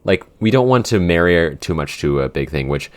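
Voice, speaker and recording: A man's voice: low voice, lively intonation, normal speed. A man, one of a podcast's creators, chatting informally and thinking aloud in loosely phrased, unscripted answers. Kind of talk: casual unscripted behind-the-scenes chat.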